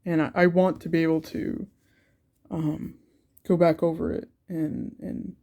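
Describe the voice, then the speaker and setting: Young man narrating an art vlog while stuffed up from a cold; slow, gravelly, mellow delivery, halting and unsure. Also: fairly high pitch, little pitch movement. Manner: hesitant, many ums and repeated words. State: congested from a cold. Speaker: art channel creator.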